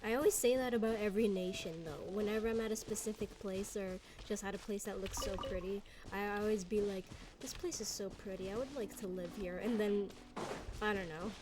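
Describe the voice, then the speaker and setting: A woman's voice: medium pitch, steady tone, fairly quick, background noise. A woman giving casual gameplay commentary, rambling with lots of fillers and slang. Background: game music and dialogue.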